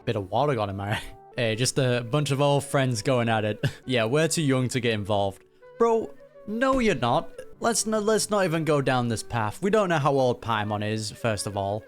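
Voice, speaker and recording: Very low-pitched woman reacting casually. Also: expressive, normal speed. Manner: playful, slangy. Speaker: streamer reacting to a game story. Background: game music and dialogue.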